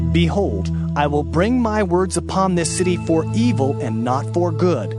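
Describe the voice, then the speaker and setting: A man's voice: a little high, lightly acted. A man playing the Lord God in a dramatized scripture reading, formal and declamatory, a solemn sovereign making promises.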